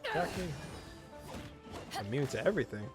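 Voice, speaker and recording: A man's voice: fairly high pitch, wildly swooping pitch, moderate pace. A young man giving casual gameplay commentary as a streamer, with short exclamations and muttered asides. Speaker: gaming streamer. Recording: faint game music behind the voice. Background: video game music.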